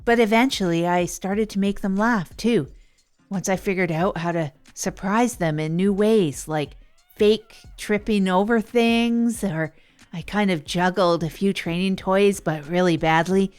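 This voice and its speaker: A woman's voice: medium pitch, measured pace, darkish tone, clean tone, close to an everyday voice. A woman playing an earnest, self-effacing radio host who tells her misadventures in a chatty, hesitant, improvised way. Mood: pleased, reflective. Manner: hesitant, rambling, chatty, chuckles at herself. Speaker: narrator and radio show host. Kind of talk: improvised first-person audio drama monologue.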